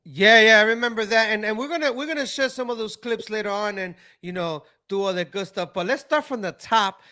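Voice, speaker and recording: A male host with a high, bright voice asks questions with eager curiosity. He keeps the conversation moving with quick follow-ups. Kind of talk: casual interview.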